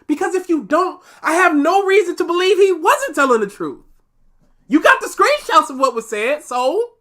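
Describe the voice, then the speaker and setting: A woman's voice: very high voice, sing-song, normal speed, a bit bright. Light nasal woman arguing her point. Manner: argumentative, gets exasperated. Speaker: co-host reacting to a video. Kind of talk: casual reaction commentary.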